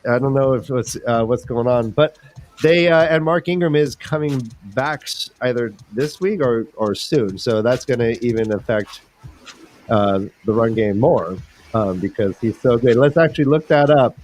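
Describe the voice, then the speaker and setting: A man's voice: mid-range voice, normal speed, darkish tone. A man hosting a casual fantasy football livestream, chatting in a jokey, colloquial way and often addressing listeners directly. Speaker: host.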